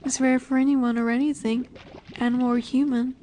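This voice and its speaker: A woman voicing a nurturing, gently commanding lamia in an intimate fantasy roleplay audio, soft and affectionate. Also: high-pitched, flat delivery, a little fast, slight background noise, natural voice. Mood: affectionate, reassuring. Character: dominant, nurturing female lamia, a serpent monster girl. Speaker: voice actress.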